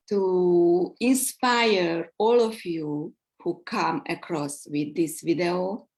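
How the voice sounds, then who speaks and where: A woman with a Hungarian accent hosting a live online interview, speaking in a halting way with many fillers. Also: lowish voice. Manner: slow, halting, with frequent fillers. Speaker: host. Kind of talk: live social media interview.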